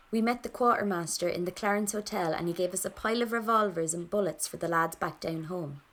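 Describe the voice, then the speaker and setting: A woman's voice: lowish voice, natural voice. An actress playing a nervy, plain-spoken young Irish rebel woman, telling her story in an intimate first person with an Irish accent. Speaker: narrator in an audio drama. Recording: clear background ambience noise.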